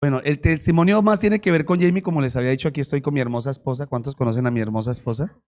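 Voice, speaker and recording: A man's voice: medium pitch, very fast, darkish tone. A male pastor, interviewing his wife in front of the church and joking with the congregation.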